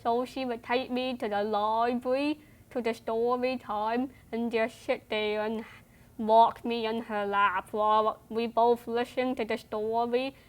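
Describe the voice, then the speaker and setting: A woman's voice: fairly high pitch, level tone, unhurried. A woman in her twenties telling her personal story as an interviewee.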